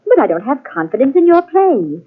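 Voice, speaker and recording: An actress in an old-time radio mystery playing a wealthy, dismissive wife with an airy theatrical manner. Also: female voice, high-pitched, very animated, brisk. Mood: airy, firm. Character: wealthy, breezily dismissive wife who backs plays. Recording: tinny, band-limited old radio cassette transfer.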